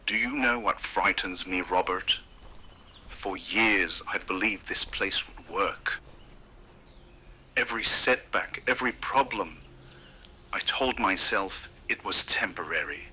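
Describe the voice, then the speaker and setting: A man playing an idealistic, troubled park owner in a found-footage drama, speaking gravely and reflectively with deliberate phrasing. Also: low-pitched, sharp tone, slight background noise, exaggerated voice. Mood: troubled, wistful.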